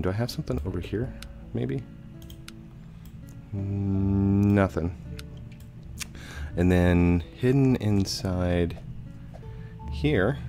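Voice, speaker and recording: A low-pitched man in his thirties talks through a video game, chatty and meandering with plenty of ums. His voice is a bit hoarse and stuffy from a cold. He speaks into a headset mic at home, with soft music underneath. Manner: chatty, rambling, with frequent fillers. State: slightly hoarse with a head cold. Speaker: gaming channel host. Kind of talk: casual let's play commentary.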